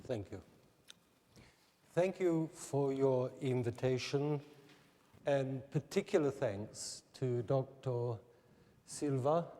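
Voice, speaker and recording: Slow, measured New man. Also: mid-range voice, dark tone. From New Zealand. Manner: measured, deliberate delivery. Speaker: candidate giving a public presentation. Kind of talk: formal prepared speech. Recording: reverberant hall.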